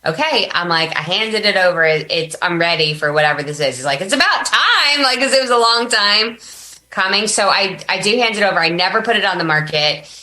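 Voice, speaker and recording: A woman guest on a podcast telling personal stories casually, chatty and animated, acting out bits of dialogue. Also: medium pitch, brisk. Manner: animated, chatty, quotes herself and others in dialogue. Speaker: podcast guest, business coach. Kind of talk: casual personal storytelling in an interview.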